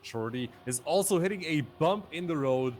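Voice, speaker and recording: A man's voice: on the high side, expressive, moderate pace, a little mellow, a little hiss or hum. A man commentating live on a racing game as a play-by-play esports caster.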